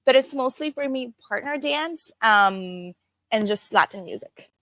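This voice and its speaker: A woman's voice: a little high, expressive, a little slow, a bit bright, no room sound. Reflective Lebanese-born woman coach. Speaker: coach and corporate trainer. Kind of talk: interview guest.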